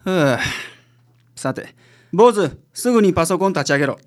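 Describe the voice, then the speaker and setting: A woman playing a gruff, laid-back male private detective with a Kansai accent in a radio drama. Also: fairly low pitch, lively intonation, moderate pace, heavy background noise, natural voice. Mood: brisk, commanding.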